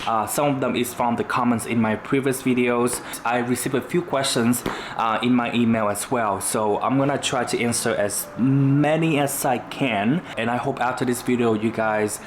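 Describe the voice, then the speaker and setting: A man's voice: medium pitch, fairly even intonation, moderate pace. A young man from Vietnam, speaking English with a Vietnamese accent, chatting casually on his vlog with lots of "you know" fillers. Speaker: YouTuber. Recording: echoey room with faint noise.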